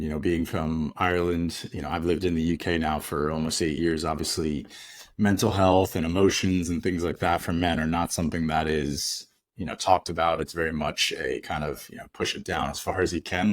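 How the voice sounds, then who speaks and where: A man hosting a podcast interview, asking gentle, hedging questions with many fillers. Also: low-pitched, no echo. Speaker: podcast host.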